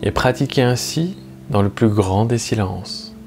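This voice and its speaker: A low male voice leads a guided meditation. He speaks slowly and soothingly, leaving long, measured pauses between phrases. Soft plucked guitar music plays faintly behind him. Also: gravelly. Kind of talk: guided relaxation narration.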